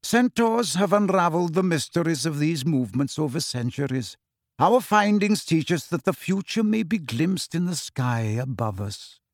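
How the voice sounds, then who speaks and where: A man acts out a proud, solemn centaur teacher from a storybook. His pitch sits high for a male voice and moves widely, while the delivery stays measured, formal and aloof. The sound is close and dry. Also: lively intonation, dry recording. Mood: calm, measured. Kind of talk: acted audiobook dialogue.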